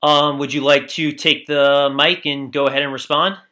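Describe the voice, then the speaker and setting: A slightly high-voiced man with a bright, clear tone runs the discussion casually. He keeps things friendly and upbeat between the two guests. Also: level tone, moderate pace. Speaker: discussion moderator. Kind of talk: casual moderating. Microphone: video call.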